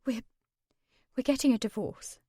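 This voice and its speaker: A woman's voice: on the high side, moderate pace, mellow, husky, no room sound, studio quality, pitched above the performer's own voice. A woman voicing a tired, flustered middle-aged mother. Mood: weary.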